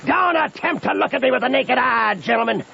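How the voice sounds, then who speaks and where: A man's voice: very high-pitched, lively intonation, fairly quick, gravelly, pushed and bright, breathy edge, exaggerated voice. An actor playing a swaggering, quarrelsome raftsman who proclaims grandiose boasts in a bombastic old-time radio style. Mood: grandiose boasting. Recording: muffled old radio recording.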